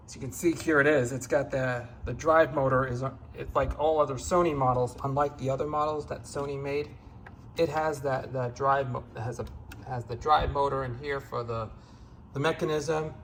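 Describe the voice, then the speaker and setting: A man giving a casual, unscripted hands-on demonstration as a gear hobbyist, in a halting, repetitive, rambling way. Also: medium pitch, slight background noise.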